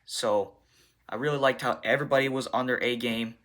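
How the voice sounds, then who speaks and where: A man speaking as a football coach at a press conference, answering questions in deliberate, halting short bursts. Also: mid-range voice, steady tone, fairly bright tone.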